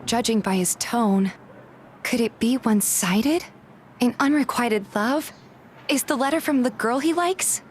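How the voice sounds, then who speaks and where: A woman's voice: a little high, measured pace, slight background noise, natural voice. A woman playing a feisty, suspicious young woman who narrates her own story in a game fan dub. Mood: curious, suspicious. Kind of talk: scripted first-person narration and game dialogue, fan dub.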